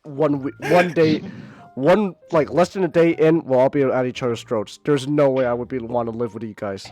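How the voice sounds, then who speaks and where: A man's voice: medium pitch, normal speed, dark tone. A man hosting a casual podcast, stuffed up and sick, rambling with stumbling restarts and casual swearing. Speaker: podcast host.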